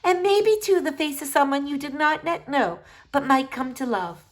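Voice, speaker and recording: Woman voicing an effusive, high-pitched storybook lady doctor. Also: expressive. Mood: warm, welcoming. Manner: drawn-out, exclamatory, sentimental. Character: effusive, tender foreign lady doctor, sentimental. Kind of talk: acted children's storybook dialogue.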